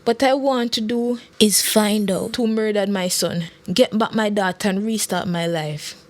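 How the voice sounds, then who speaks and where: A woman's voice: mid-range voice, fairly even intonation, unhurried, no room sound, lightly acted. A woman voicing a heartbroken, loving mother in a story, emotional and imploring. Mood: grieving, resolved. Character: heartbroken, loving mother pleading for her family.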